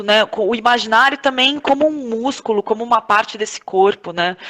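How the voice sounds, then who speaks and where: A woman's voice: on the high side, fairly bright tone, low-quality recording. A woman with a Brazilian accent, talking reflectively about her own creative work as an interview guest, searching for her words and checking in with the listener. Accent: Brazilian Portuguese. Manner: introspective, searching, often seeking agreement with short tags. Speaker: podcast guest, playwright, actress and director.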